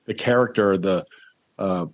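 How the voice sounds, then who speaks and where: A man hosting a casual interview, halting and repeating words as he forms his questions. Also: sits low. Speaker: podcast interviewer.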